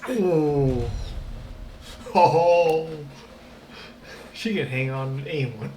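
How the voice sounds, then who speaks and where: A man giving casual, halting reaction commentary as he streams a game. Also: a little high, animated, background noise. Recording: game audio and music behind.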